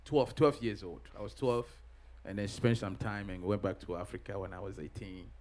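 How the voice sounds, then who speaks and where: Halting middle-aged man from Ghana. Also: lowish voice, steady tone, a little mellow, slight background noise. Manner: halting, many pauses and restarts. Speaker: pastor and guest. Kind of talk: interview answers.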